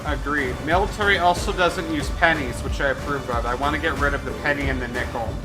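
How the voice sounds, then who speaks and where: A man's voice: fairly high pitch, fast, low-quality recording. A man with a Great Lakes American accent, chatting in an opinionated way while streaming a game. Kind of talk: casual chat while playing. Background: game music.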